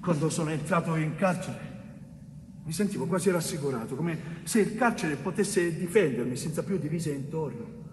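An actor playing an anguished young mason, wrongly jailed, in an urgent, repetitive and pleading theatre monologue. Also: male voice, high-pitched. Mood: disillusioned. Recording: echoey hall, distant mic, faint noise.

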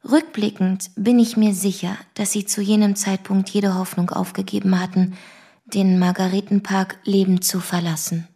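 An actress playing a composed, formal woman who gives a measured, matter-of-fact witness account in a radio play. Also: mid-range voice, level tone, mellow, husky. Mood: composed, sober. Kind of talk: radio play narration, scripted. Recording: music behind the voice.

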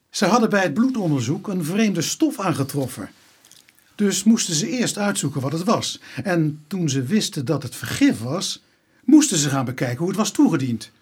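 A weary Dutch police inspector in a radio play. He is cautious and authoritative, with strongly moving intonation and a slightly raised pitch. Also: animated, normal speed, slightly rough. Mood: explanatory. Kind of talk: Dutch radio drama acting.

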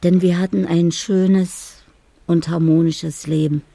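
Older dreamy woman, slow n gravelly, wistful poetic radio play. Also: fairly low pitch, little pitch movement, warm tone, full character voice. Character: dreamy, wounded older woman telling her life. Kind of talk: radio drama monologue, poetic.

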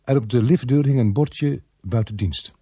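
An actor narrating a radio play in the first person, with a husky, dark voice. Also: male voice, medium pitch, normal speed, dry recording, natural voice. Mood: dry storytelling.

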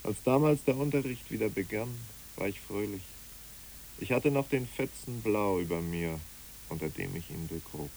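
An actor playing a brooding, grieving man in a poetic German radio drama, speaking in halting, musing phrases. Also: lowish voice, a little mellow, natural voice, rough recording. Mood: brooding, mournful. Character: brooding, grieving male friend, haunted by memories. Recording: clear tape hiss.

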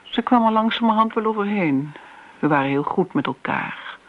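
An actress playing a disdainful older woman who reminisces briskly in a radio drama. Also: medium pitch, animated, close to an everyday voice. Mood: reminiscing. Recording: dull, noisy old radio play recording.

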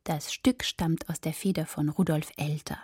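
A woman announcing radio play credits from a script. Her voice is lowish, dark and very husky, recorded in a dry studio. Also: fairly even intonation, moderate pace, no room sound.

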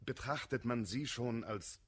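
An actor playing a moderate, worldly friend in a classical German radio play, reasoning in measured, ironic rhymed verse. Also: male voice, mid-range voice, fairly bright tone, breathy edge. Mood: mildly mocking.

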